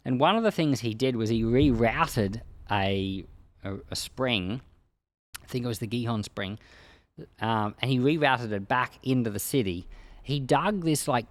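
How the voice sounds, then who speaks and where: A man's voice: medium pitch, no echo. A man speaking as a pastor, reading and explaining scripture in a plain-spoken, explanatory way. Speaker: pastor and Bible podcast host. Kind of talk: scripture reading followed by informal devotional commentary.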